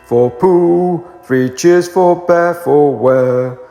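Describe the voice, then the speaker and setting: A man voicing a simple, good-natured storybook bear chanting to himself in an anxious, drawn-out sing-song. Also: fairly high pitch, gravelly, dark tone, pitched above the performer's own voice, exaggerated voice. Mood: anxious, muddled. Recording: roomy echo, long reverb, faint music.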